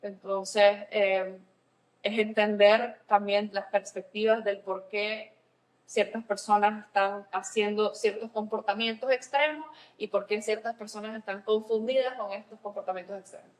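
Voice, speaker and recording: A woman's voice: medium pitch, fairly even intonation, unhurried, low-quality recording. A woman with a Nicaraguan Spanish accent, explaining things conversationally in a semi-professional register as a therapist and podcast co-host.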